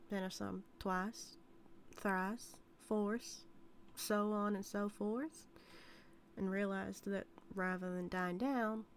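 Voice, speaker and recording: A woman's voice: medium pitch, a little hiss or hum. A woman playing an obsessive, dominant outlaw cowgirl in an audio roleplay, with folksy, drawling, teasing Western talk. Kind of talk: dramatic audio roleplay monologue to the listener.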